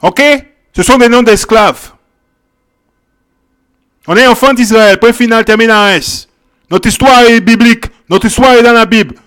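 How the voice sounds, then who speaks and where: A man's voice: extremely high pitch, moderate pace, pauses often, clear, resonant tone. A man teaching a Bible sermon, reading verses and repeating key phrases with emphasis, often checking in with "ok?" and "hein?". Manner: shifts from quiet verse reading to loud exhortation. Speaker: preacher.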